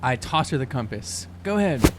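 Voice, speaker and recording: A man's voice: mid-range voice, very animated, moderate pace, heavy background noise. A man playing a tabletop role-playing game, chatting casually with a dry, teasing manner. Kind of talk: casual chat.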